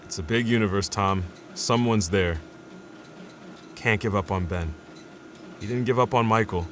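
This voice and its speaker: An actor playing a steady, loyal male scientist in a naturalistic audio drama. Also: on the low side, unhurried, a little hiss or hum. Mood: resolute. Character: steady, loyal scientist colleague, grounded and persistent.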